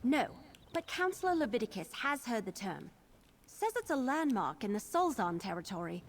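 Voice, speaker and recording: An actress playing a determined young adventurer in a video game, speaking in an earnest, emphatic, fiery way. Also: female voice, fairly high pitch, very animated, moderate pace. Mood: earnest, focused. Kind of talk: video game character dialogue.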